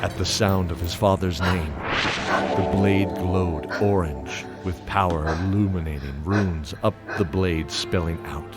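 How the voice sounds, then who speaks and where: A man giving dramatic scripted narration of a fantasy tale, measured, pausing between short phrases. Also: low voice. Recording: loud music under the voice.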